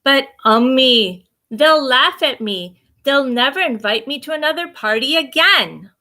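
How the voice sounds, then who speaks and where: A woman voicing an exasperated older sister, a schoolgirl in a children's storybook, with emphatic, drawn-out complaints. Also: sits high, expressive, bright, forceful tone, full character voice. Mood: pleading. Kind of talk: children's storybook read aloud.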